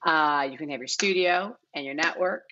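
Bright, forceful middle-aged woman, casual guest. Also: deep voice, moderate pace, lo-fi sound. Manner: emphatic, repeats words for emphasis. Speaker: guest, casting director. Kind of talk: casual interview answers. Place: living room at home, video call.

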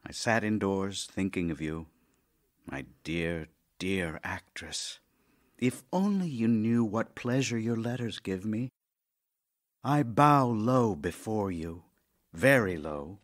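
An actor playing a middle-aged Russian playwright in an audio drama, wry and lovesick, with theatrical, deliberate pauses. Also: male voice, on the low side, lively intonation. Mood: wry, tender. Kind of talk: theatrical audio drama, reading love letters.

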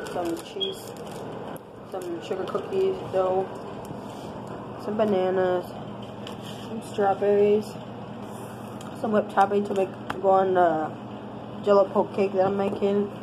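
A woman with a blocked, stuffy nose talks through a casual show-and-tell in a flat, level voice. She speaks on a phone held in her home kitchen, and there is clear hiss and noise under her voice. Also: mid-range voice. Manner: plain listing, unhurried, unscripted. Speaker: family channel host. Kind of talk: grocery haul show-and-tell. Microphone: handheld phone camera. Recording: noisy home phone recording.

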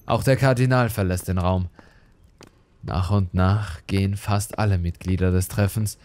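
A man's voice: low voice, steady tone, moderate pace, darkish tone. A man reading a scripted horror story as narrator, with dramatic delivery.

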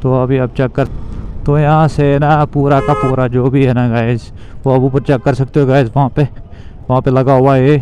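A man's voice: mid-range voice, level tone, normal speed, a little mellow. A young man vlogging casually while riding a motorbike through city traffic, talking loosely and repetitively to his viewers. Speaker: moto vlogger.

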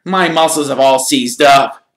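A man voicing a tired schoolboy who complains in excited chatter. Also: mid-range voice, measured pace, echoey room, voice pushed up, lightly acted. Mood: grumbling. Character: tired, grumbling schoolboy friend.

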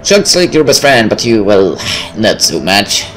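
A man hosts a let's play with a lively, swinging pitch. He reads the story aloud and drops in informal, joking asides and swearing. Faint music plays behind him. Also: moderate pace. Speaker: gameplay host reading a visual novel aloud. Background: game music.

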